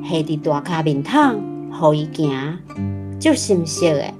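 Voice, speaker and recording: A woman's voice: sits low, animated, unhurried, music in the background. A woman with a Taiwanese accent playing a fond mother telling childhood memories in a children's radio drama. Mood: wistful, delighted. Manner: chuckling storyteller. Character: fond nostalgic mother recalling her childhood.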